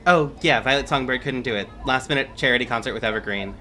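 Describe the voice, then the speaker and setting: A man's voice: medium pitch, quick pace, bright, forceful tone, a little hiss or hum, close to an everyday voice. A man playing an overcommitted superhero playwright in an audio drama, passive-aggressive and breezily sarcastic. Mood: defensive. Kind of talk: naturalistic audio drama acting.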